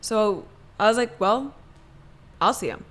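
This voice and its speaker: A woman's voice: medium pitch, animated, measured pace. A young adult woman psychiatrist from Maryland, talking casually and thoughtfully in an interview, with many fillers and self-corrections. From Maryland, United States. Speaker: psychiatrist guest.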